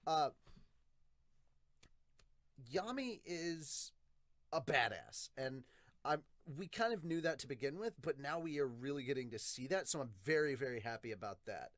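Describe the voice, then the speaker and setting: A man hosts a casual review show in a mid-pitched voice. He talks bluntly and with animation, swearing freely as he reacts. The sound is dry, with very little room to it. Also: big pitch swings, normal speed, long pauses, fairly bright tone, no room sound. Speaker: host of a manga review podcast.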